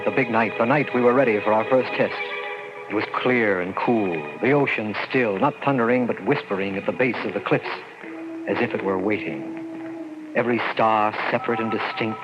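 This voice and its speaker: An actor playing an earnest young army lieutenant and scientist who recounts events dramatically in an old-time radio drama. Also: male voice, mid-range voice, natural voice. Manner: earnest, dramatic, suspenseful storytelling. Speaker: narrator and lead character. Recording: muffled vintage radio recording, loud music.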